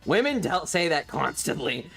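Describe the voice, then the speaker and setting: A man streamer telling a casual, rambling story in a halting, wry way with some swearing. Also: fairly high pitch, big pitch swings, fairly bright tone. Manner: frequent throat clearing.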